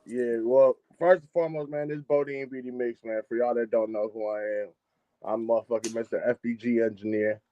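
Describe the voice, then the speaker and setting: A man's voice: medium pitch, steady tone, a little fast, no echo, phone-quality sound. A man, a music engineer talking casually as a guest on a live-streamed interview, often repeating and restarting his phrases. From Chicago, United States. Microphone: video call.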